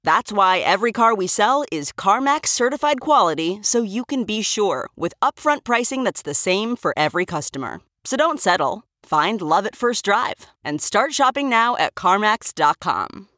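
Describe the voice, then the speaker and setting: A woman reading a scripted commercial in a smooth, upbeat manner. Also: medium pitch, sing-song, normal speed, hardly any pauses, a bit bright, no room sound, studio quality. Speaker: ad announcer.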